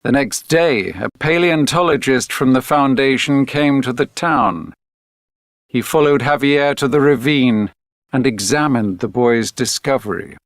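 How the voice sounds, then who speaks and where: A man reading a children's read-along story as a narrator, deliberate and expressive. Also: medium pitch, dry recording, studio quality. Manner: deliberate, clearly phrased, expressive reading for learners. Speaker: textbook audio narrator.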